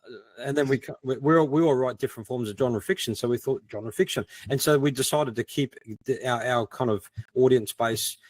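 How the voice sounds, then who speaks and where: A man's voice: medium pitch, brisk. A man in a casual panel discussion, talking in an informal, self-deprecating way. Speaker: podcast co-host as panel guest.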